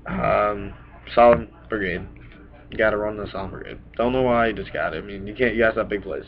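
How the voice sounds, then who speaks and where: A man's voice: on the low side, brisk. A young man getting over a cold, casually rambling through a card deck to a webcam in a small room, often punctuating with "bam". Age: young adult. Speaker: card game vlogger. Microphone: webcam at desk. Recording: dull lo-fi webcam audio.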